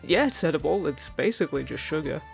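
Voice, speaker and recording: A man's voice: fairly high pitch, lively intonation, fast, vocal fry, soft background music. A man playing a friendly, teasing male fairy in an audio roleplay, chatting casually and reassuringly to the listener. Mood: cheerful, inviting.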